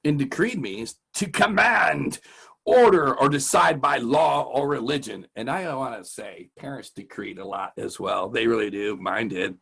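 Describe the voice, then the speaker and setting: A man's voice: mid-range voice, measured pace, vocal fry, a bit bright, slightly rough. A man hosting a casual vocabulary lesson as a teacher, chatty and jokey. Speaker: host, music teacher.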